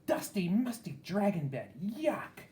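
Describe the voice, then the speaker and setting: Man putting on a shrill, bratty princess. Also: very animated, slow pace, mellow, a little hiss or hum, exaggerated voice. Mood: disgusted tantrum. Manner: ranting, shrieking. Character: bratty young princess, shrill, haughty and furious.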